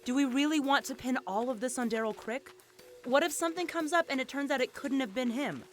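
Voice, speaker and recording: A woman's voice: high-pitched, a little fast, faint music behind, natural voice. A woman playing a cautious, doubting investigator in an audio drama, earnest and questioning in manner. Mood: doubtful, urgent. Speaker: voice actor.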